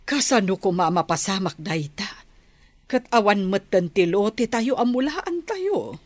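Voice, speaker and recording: A woman's voice: mid-range voice, very animated, measured pace, no room sound. A woman with an Ilocano accent playing a doubting, practical village wife in a radio comedy-drama. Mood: doubtful.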